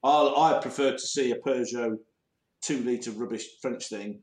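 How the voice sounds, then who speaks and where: A man co-hosting a podcast, jokey and animated in casual banter, in an echoey room. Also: medium pitch, unhurried. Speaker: podcast co-host.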